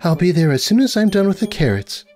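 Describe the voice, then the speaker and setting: Man putting on a timid, high, sweet morbid pony girl. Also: big pitch swings, fast talker, faint music behind. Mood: sweetly serene. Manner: meek, hesitant, gently pleasant.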